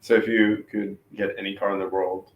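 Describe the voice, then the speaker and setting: A man's voice: lowish voice, little pitch movement, a little fast, lo-fi sound. A man interviewing a colleague in an easygoing, casual way, often saying "cool" between questions. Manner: easygoing, says "cool" a lot. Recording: echoey room, off-mic, faint noise.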